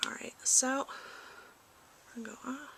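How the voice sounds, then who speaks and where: A woman speaking softly and reassuringly in an ASMR roleplay as a doctor giving a check-up, often slipping into whispers. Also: sits high, steady tone, moderate pace, gravelly, slight background noise. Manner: gentle, hushed, reassuring, dropping into whispers. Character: polite research lab doctor giving a check-up. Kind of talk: soft-spoken ASMR medical exam roleplay.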